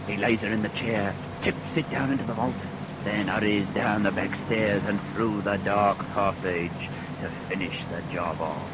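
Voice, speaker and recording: A man's voice: fairly low pitch, steady tone, normal speed, close to an everyday voice. A man narrating a scripted vintage radio drama. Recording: old radio recording, loud rain noise.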